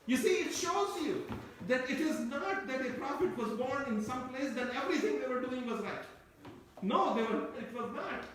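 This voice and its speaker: A man's voice: very high-pitched, animated, moderate pace, a bit bright, slight background noise. A man who grew up speaking Turkish gives a Quran commentary lecture, wandering and repeating himself as he explains. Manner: discursive, repeats and restarts phrases. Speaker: Quran teacher. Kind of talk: tafseer lecture. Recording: echoey room, mic at a distance.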